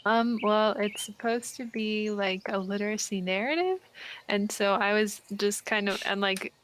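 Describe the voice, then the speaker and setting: A young woman answering casual interview questions about her writing in a hesitant, halting way. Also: medium pitch, fairly bright tone. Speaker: story author being interviewed.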